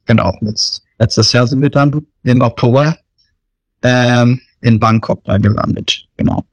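A man in his thirties from Germany, talking casually about his missionary work in an interview, often pausing with fillers. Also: medium pitch, dry recording. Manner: hesitant, many fillers and restarts.

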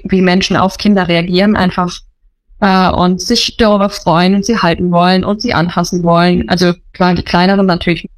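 A woman's voice: fairly low pitch, dry recording. A woman in her thirties, a missionary, telling her story in an interview, earnest and often restarting her sentences. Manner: earnest, searching for words, restarts. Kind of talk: casual interview talk.

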